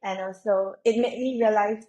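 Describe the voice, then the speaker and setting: A woman's voice: mid-range voice, fairly even intonation, normal speed, dark tone, poor audio. A young woman from Malaysia, a podcast guest, talking reflectively in a casual, rambling way with frequent fillers. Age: young adult. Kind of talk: casual conversational interview.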